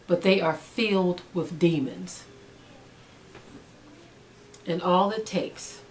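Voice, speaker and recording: A woman giving an earnest spiritual teaching, emphatic and repeating phrases for stress. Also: medium pitch. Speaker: religious teacher. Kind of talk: spiritual teaching, sermon-like monologue.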